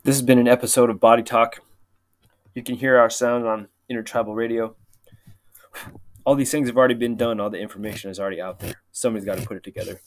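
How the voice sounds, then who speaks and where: A man as podcast host giving a quick sign-off. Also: mid-range voice, steady tone. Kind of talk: show outro.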